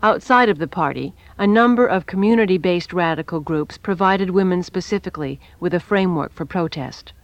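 A woman narrating a documentary in a clear, scripted reading. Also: fairly low pitch, brisk, hardly any pauses, muffled recording.

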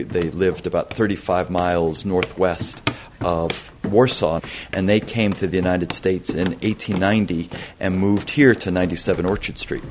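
A man's voice: low-pitched, noisy background. A man guiding a museum tour through an old tenement hallway, explaining in a matter-of-fact way. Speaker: museum guide. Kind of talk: guided tour talk.

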